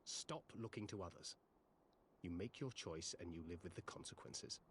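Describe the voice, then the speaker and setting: A man's voice: low voice, normal speed, fairly bright tone. An actor voicing a stern, cold man in a video game cutscene, curt and commanding as he lectures others. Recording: distant game audio with background noise.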